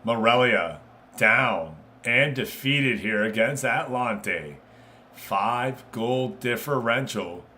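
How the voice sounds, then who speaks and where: A man's voice: mid-range voice, animated, slow, sharp tone. A man hosting a live game stream, giving casual commentary and chatting informally with viewers. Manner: informal, conversational, addressing viewers directly.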